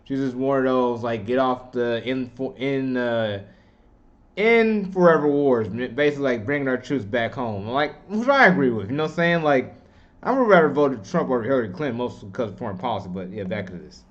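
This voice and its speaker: A man's voice: mid-range voice, expressive, fairly quick. A man giving casual, opinionated political commentary in a rambling, slangy, informal way. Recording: dull, muffled lo-fi audio.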